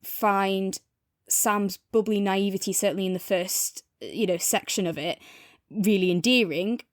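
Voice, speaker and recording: A woman's voice: medium pitch, unhurried, no room sound, studio quality. A woman chatting casually and thoughtfully as a podcast co-host in a review discussion.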